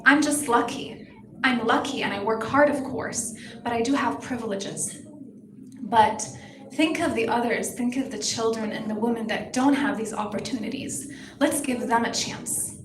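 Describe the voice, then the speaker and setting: A young woman from Palestine answers press questions at a pageant meet-and-greet, earnest and impassioned. Her voice sits a little high. The recording is echoey, with clear room chatter behind her. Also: moderate pace. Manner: earnest, impassioned, speaks in full sentences. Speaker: pageant contestant. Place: hotel event hall with a crowd. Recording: echoey hall, busy room noise.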